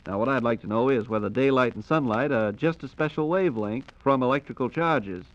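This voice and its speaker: An American man hosts in the style of 1940s radio, with a medium-pitched voice. He reads his script formally and courteously, and the old recording sounds dull and muffled. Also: brisk. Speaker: radio host.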